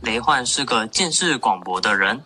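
A man's voice: medium pitch, lively intonation, normal speed, pushed and bright. A young man reading scripted story narration for a radio play. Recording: music behind the narration.

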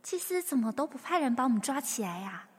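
A woman's voice: high-pitched, sing-song, fast talker, breathy edge, exaggerated voice. An actress playing a curious young woman companion who keeps asking questions, in an audio drama. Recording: long reverb.

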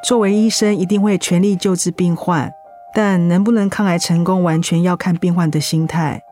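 A woman's voice: lowish voice, fairly even intonation, moderate pace, dark tone, faint music behind, lightly acted. A woman playing a serious, composed doctor in a radio drama. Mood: grave, professional.

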